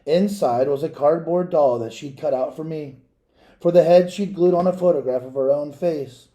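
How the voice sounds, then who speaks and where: A man reading a children's picture book aloud as a storyteller, in a measured, dramatic way with pauses and asides to listeners. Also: on the high side, dark tone.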